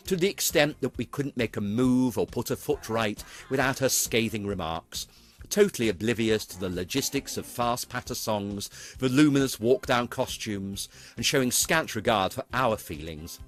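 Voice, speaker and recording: A man's voice: mid-range voice, a bit bright. Middle aged british guy narrating his stage memories, wry and theatrical, music under it. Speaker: pantomime dame actor, narrator. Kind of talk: scripted narration of a career retrospective. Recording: music playing behind the voice.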